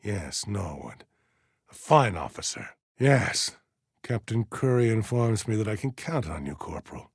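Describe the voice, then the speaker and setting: A man voicing a stern, aging militia colonel in a video game, formal and measured as he gives orders with deliberate pauses. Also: fairly low pitch, sing-song, slightly rough, no echo, clean studio sound, full character voice. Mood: brooding, halting. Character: stern aging militia colonel, dutiful, zealous, wary, slightly forgetful. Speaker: military commander giving orders. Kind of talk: scripted video game character dialogue.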